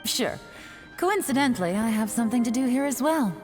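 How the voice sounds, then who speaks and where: A woman playing an enigmatic female agent in an English video game dub, composed, teasing and quietly authoritative. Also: on the high side, lively intonation, a little fast, soft background music, natural voice. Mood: cool, knowing. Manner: composed, coy, controlled.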